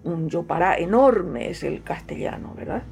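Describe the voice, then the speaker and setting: A middle-aged woman with a Paraguayan Spanish accent and Guaraní as her first language speaks slowly and thoughtfully, often circling back to repeat a phrase. Her voice is gravelly and dark, with a relaxed mellowness. Soft music plays faintly beneath her. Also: on the low side. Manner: unhurried, fond of repetition, frequent fillers. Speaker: writer, interviewee. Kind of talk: reflective interview answers.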